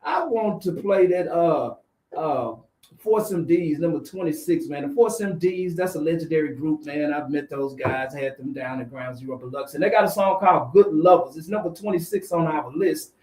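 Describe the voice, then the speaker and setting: A man hosting a radio show, chatting casually with a guest in a brisk, bantering way. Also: fairly high pitch, darkish tone. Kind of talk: casual interview and show patter. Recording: roomy studio sound.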